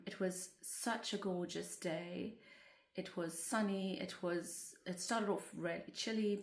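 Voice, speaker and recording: Woman vlogger, croaky from a cold, unhurried, flat even pitch, gentle rambling chat, roomy echo. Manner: gentle, meandering, with many fillers. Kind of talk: casual chatty vlog to camera. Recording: roomy echoey room.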